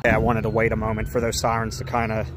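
A man's voice: on the low side, completely flat delivery, fast, a little hiss or hum. Chatty man ranting outdoors. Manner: chatty, ranting, easily indignant. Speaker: urban explorer vlogger. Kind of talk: casual walk-around narration. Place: outdoors around an empty brick building.

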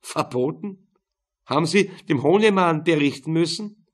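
A man's voice: a little high, lively intonation, normal speed, voice pushed up. A man voicing a persistent, dry police detective in an audiobook, terse and probing. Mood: pressing.

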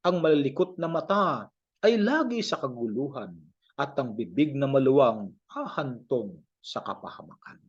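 Filipino man reciting scripture, swooping pitch. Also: animated, moderate pace, slightly rough. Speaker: Bible reader. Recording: dull lo-fi home recording.

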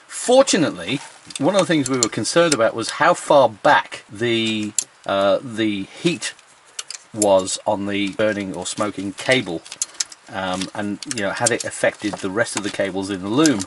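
A man's voice: lowish voice, measured pace, background noise. A man in his fifties explaining things casually to camera as a sailing vlog host. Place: outdoors at a marina and aboard a sailboat.

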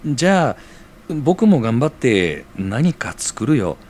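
An actor playing a modest, earnest young working man in an audio drama, speaking in a gentle, boyish manner. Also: medium pitch, very animated, slow. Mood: shy, glad. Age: young adult.

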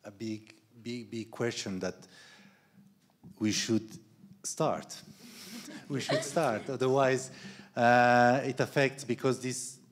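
A man's voice: mid-range voice, steady tone, slow. A man in his fifties moderating a panel discussion, reflective, posing broad questions into a handheld mic. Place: event stage with panel tables, indoors.

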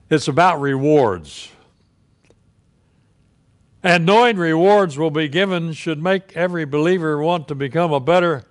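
An elderly male pastor delivers a sermon from the pulpit of a church sanctuary, with a slightly high voice. He speaks very slowly and deliberately, leaning on pauses for emphasis. The sound comes from the pulpit microphone. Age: older.

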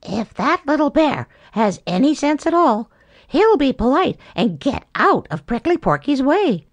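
A woman reading a storybook and voicing a bossy male blue jay in a shrill, scolding tone. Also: sing-song, measured pace, dry recording, heavily acted. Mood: scornful. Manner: shrill, scolding, high-pitched.

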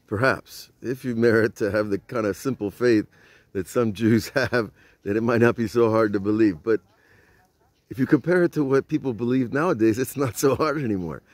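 A man's voice: mid-range voice, moderate pace, no room sound. An older man, a rabbi, giving an informal Torah lesson outdoors at night, filmed on a phone held at arm's length, telling the story conversationally and putting rhetorical questions to his listeners. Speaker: rabbi teaching. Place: outdoors at night by old stone walls. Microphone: phone camera at arm's length.

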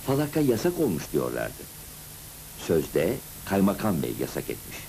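An actor narrating a cartoon as a grown man recalling his boyhood school days, in an expressive, scripted storybook manner. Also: low-pitched, moderate pace, creaky, a little hiss or hum, full character voice, rough recording. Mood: reminiscing, measured.

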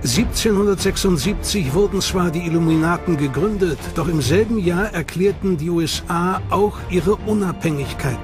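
A man narrating a documentary in a measured, dramatic manner. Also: sits high. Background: music.

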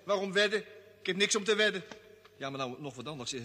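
A man's voice: high voice, animated, fast talker, bright, forceful tone, slight background noise. An actor playing a nervy, grumbling space crewman with a stammering, complaining manner in an old radio drama. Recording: vintage radio drama, long studio reverb.

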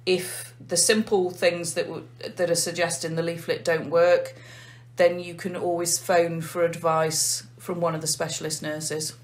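An English woman, a nurse manager, calmly explaining a heart failure clinic in an interview. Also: on the low side. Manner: measured, methodical explaining.

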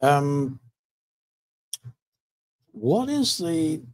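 Male host, mellow warm tone, halting and filler-heavy questions. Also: fairly high pitch, big pitch swings, rough recording. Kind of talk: interview.

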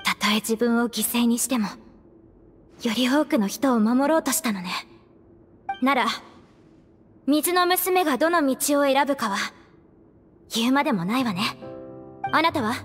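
A woman's voice: high-pitched, animated, normal speed, pauses often, long reverb tail, soft background music. A woman voicing an earnest young stage actress with polite, feminine phrasing in an anime game. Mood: resolute. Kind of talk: Japanese anime game voice acting.

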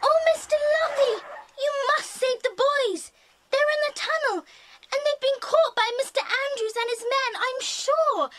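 A very high-voiced anxious schoolgirl. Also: unhurried, fairly bright tone. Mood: frightened pleading. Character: anxious, earnest young schoolgirl. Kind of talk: children's audiobook drama acting. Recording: noisy cassette drama recording.

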